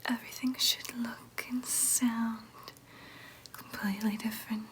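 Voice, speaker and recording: Casting: woman to play gentle, businesslike female vampire nurse for ASMR roleplay; breathy whisper throughout, soothing clinical bedside manner, fairly high-set, level melody. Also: flat delivery, a little slow, close to an everyday voice. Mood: pleased, attentive. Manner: soothing, clinical, hesitant asides.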